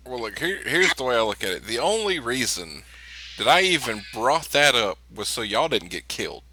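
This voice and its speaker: A man playing a plain-spoken, practical starship crew investigator in a tabletop game, with a folksy, deliberate manner. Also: medium pitch, big pitch swings, pushed and bright, a little hiss or hum, rough recording. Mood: firm, concerned. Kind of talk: improvised tabletop role-play.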